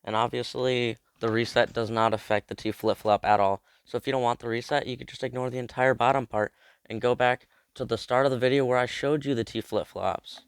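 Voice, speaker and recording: A young man narrates a step-by-step tutorial while nursing a cold, talking in a loose, informal way. His voice stays smooth and resonant at a middling pitch. The sound is dry and close, with faint music underneath. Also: fairly even intonation, a little fast, dry recording. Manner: chatty, informal, explains step by step. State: has a cold. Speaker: video creator explaining a game build. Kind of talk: casual tutorial walkthrough.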